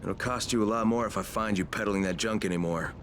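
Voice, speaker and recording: A man's voice: fairly low pitch, quick pace, a bit bright, a little hiss or hum, close to an everyday voice. A man playing a tough young survivor in a video game, speaking in a firm, terse and insistent way. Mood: stern, threatening. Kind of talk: video game cutscene dialogue.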